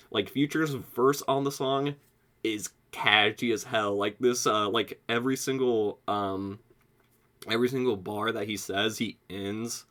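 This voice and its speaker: Low-voiced young American man reviewing music. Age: young adult. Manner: excitable, slangy, heavy use of "like", frequent swearing. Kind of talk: casual album review.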